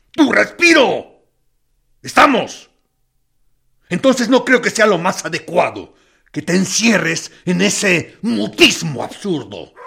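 Slow, menacing military interrogator. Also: male voice, a little high, vocal fry, fairly bright tone, slightly rough, exaggerated voice. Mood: falsely reassuring. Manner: deliberate, mock-friendly, condescending, with theatrical pauses. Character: smooth, menacing male military interrogator playing good cop. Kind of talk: dramatic radio play monologue.

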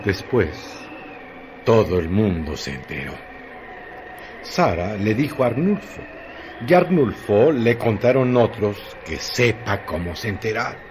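A man narrates a radio drama from a script. His voice sits on the low side, with faint noise behind it. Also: big pitch swings, a little slow.

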